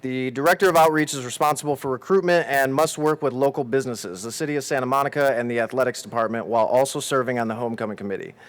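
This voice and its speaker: Smooth-voiced male debate moderator. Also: medium pitch, fast talker, hardly any pauses, no echo. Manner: reads roles out evenly, with some "uh" fillers. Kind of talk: formal announcements.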